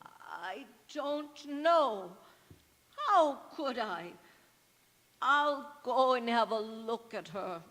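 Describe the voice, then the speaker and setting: A woman's voice: fairly high pitch, animated, very slow, a bit bright, exaggerated voice. A woman acting a matter-of-fact, curt member of a household in a stage radio drama. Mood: curt, curious. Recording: echoey hall with long reverb.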